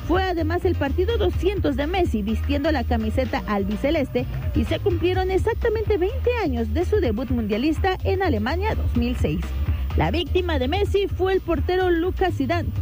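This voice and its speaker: A woman reading a sports news report in a measured, formal newsreader delivery. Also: high voice, mellow. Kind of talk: scripted news narration.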